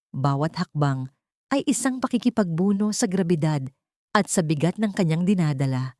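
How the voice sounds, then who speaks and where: A woman's voice: on the low side, darkish tone, dry recording, studio quality. A woman giving a dramatic, suspenseful narration of a scripted story. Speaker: audiobook narrator.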